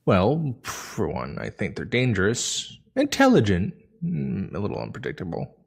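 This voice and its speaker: A man's voice: on the low side, wildly swooping pitch, normal speed, gravelly, breathy edge, heavily acted. An actor playing a bashful, cautious male colleague in a sci-fi audio drama.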